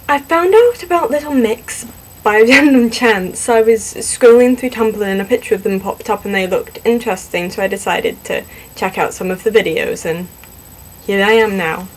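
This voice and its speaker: A young woman, born in Singapore and raised in the southwestern US, casually answering questions about herself to a camera, chatty and self-deprecating with many pauses and ums. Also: mid-range voice, slight background noise, poor audio. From born in Singapore, grew up in the southwest US. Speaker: vlogger in a fan collab channel.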